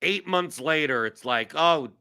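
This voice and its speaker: 40s guy from new york hosting a chat show, rambly, keeps restarting, really bright tense voice. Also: on the high side, expressive, unhurried, clear, resonant tone. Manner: rambling, self-mocking, lots of fillers and restarts. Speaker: show host. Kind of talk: casual chat.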